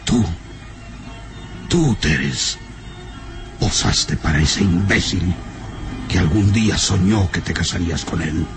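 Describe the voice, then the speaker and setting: Deep, slow, sinister castle lord. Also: male voice, music in the background. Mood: jealous, furious. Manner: slow, menacing, theatrical. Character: sinister jealous widowed father, gaunt castle lord, brooding. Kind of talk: old radio drama acting.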